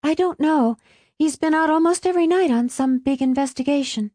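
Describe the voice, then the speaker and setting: Woman acting an uneasy, high-voiced daughter. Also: huge pitch swings, fairly quick, mellow, no echo, clean studio sound, full character voice. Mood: evasive. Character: guarded, uneasy young daughter, quick and evasive.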